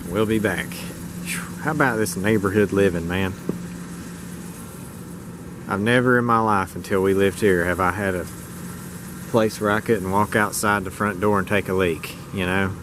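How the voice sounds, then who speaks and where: A man's voice: sits low, steady tone, moderate pace, long pauses, background noise. A man with an American accent chatting casually in a folksy, plain-spoken way as he gives an amateur show-and-tell. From rural United States. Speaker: vlogger showing his truck radio setup.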